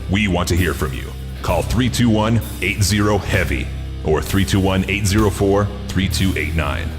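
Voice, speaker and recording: A man reading a scripted promo as a podcast announcer. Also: low-pitched. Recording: long reverb, music behind.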